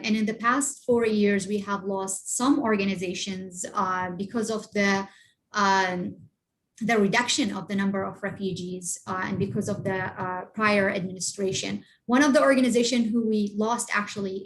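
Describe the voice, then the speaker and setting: A middle-aged woman from Iraq, a refugee organizer, giving a presentation over a video call and filling pauses with many uh and um sounds. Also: medium pitch, poor audio. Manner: halting, many uh and um fillers. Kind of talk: online presentation with shared slides.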